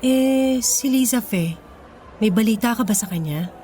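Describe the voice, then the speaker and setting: High-voiced, sentimental older sister. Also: female voice, expressive, measured pace, warm tone, a little hiss or hum. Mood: concerned. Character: Martina, forgiving older sister risen from poverty, grateful. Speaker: radio drama actress. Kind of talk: Filipino radio drama acting. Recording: music behind the voice.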